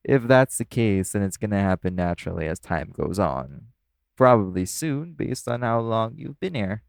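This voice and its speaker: A man's voice: fairly low pitch, very animated, normal speed, vocal fry, no room sound, clean studio sound. A man playing a flirtatious, easygoing nightclub coworker in a casual, teasing audio roleplay. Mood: casual, reassuring. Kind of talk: audio roleplay acting addressed to the listener.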